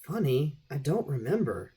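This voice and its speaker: A man's voice: a little high, sing-song, moderate pace, warm tone, breathy edge, exaggerated voice. A man voicing a hypnotised young man in an audiobook, answering tersely and drowsily from a trance. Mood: drowsy, subdued.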